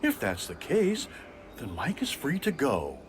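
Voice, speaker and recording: Formal, very animated police officer. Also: male voice, medium pitch, a little slow. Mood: official. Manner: official, declarative. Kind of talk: video game cutscene acting.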